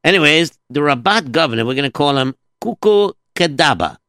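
A male storyteller hosting a children's story show, patiently and dryly teasing a sidekick who keeps interrupting. His voice is bright and moves a lot in pitch. The recording is close and dry. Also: animated, fairly quick, no echo. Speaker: storyteller host.

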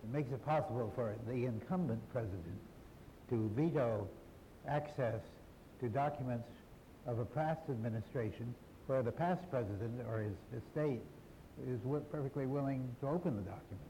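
An older man with a mid-pitched, mellow voice speaks plainly and firmly as a panelist. His voice carries a long hall reverb over a faint rumble. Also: moderate pace. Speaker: historian on a panel. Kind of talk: panel discussion. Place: large hall.

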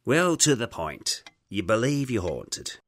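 An actor playing a solemn, dry-spoken exorcist priest in an audio drama, husky, measured, formal and reserved. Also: male voice, lowish voice, sing-song, full character voice. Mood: probing, calm.

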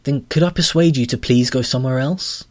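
A man's voice: medium pitch, a little fast, darkish tone, pitched above the performer's own voice, close to an everyday voice. A man raising his voice for a flustered young woman inventor. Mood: insistent. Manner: earnest, insistent, flustered.

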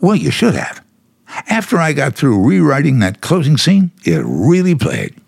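Raspy, blustering American radio boss. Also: male voice, a little high, huge pitch swings, normal speed, darkish tone, no room sound, studio quality, full character voice. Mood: brusque, casual. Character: brusque, blustering male radio producer, dismissive boss. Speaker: actor in a radio drama. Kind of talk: 1950s American radio acting. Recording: vintage 1950s radio recording.

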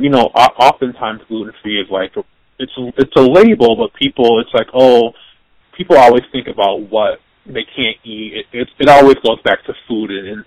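A man's voice: mid-range voice, dry recording, rough recording. A man hosting a podcast interview, rambling casually with lots of fillers and restarts. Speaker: podcast host.

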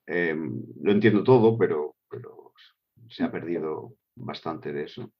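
A middle-aged man speaks in a fairly low voice, answering interview questions in a relaxed, halting way full of fillers and restarts. The recording sounds dull and lo-fi. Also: husky. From Switzerland. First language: Spanish with German. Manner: hesitant, many fillers and restarts.

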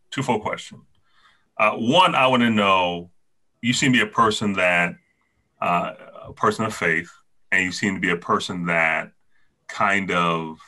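A man's voice: deep voice, pushed and bright. A man hosting a podcast interview, asking long rambling questions that he repeats and restarts. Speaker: podcast host.

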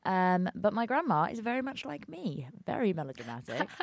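A young woman co-hosting a podcast, chatty and melodramatic, telling stories quickly. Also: medium pitch, very animated, gravelly. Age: young adult. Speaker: podcast co-host. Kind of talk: casual chat.

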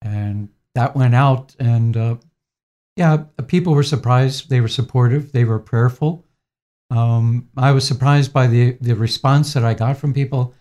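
Soft-spoken priest in his sixties. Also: mid-range voice, steady tone. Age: 60s. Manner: gentle, unhurried, frequent fillers. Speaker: priest, interviewee. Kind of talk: reflective interview answers.

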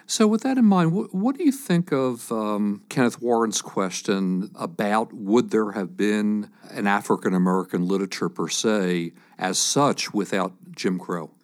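Deep-voiced man interviewing. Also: a little slow, few pauses. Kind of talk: thoughtful academic interview questions.

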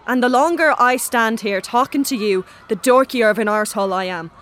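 A woman's voice: a little high, fairly quick, fairly bright tone, slight background noise, lightly acted. A woman playing a sullen, scornful teenage schoolboy in an audio drama, curt and sarcastic. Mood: scornful irritation.

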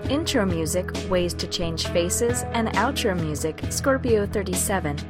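Female announcer, middle pitch, scripted credits over a loud music bed. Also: animated, measured pace. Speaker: podcast outro announcer.

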